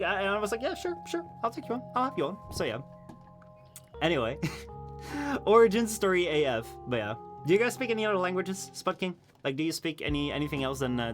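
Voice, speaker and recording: A man's voice: a little high, fairly bright tone. A tired young man, a Dutch native speaker, chatting casually and rambling with his live-stream chat, full of fillers and restarts. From Netherlands. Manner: rambling, many fillers and restarts, laughs often.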